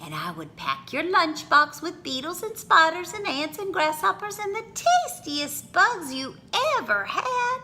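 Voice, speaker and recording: A woman reading a picture book aloud for children in an expressive, theatrical manner with drawn-out phrasing. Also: extremely high pitch.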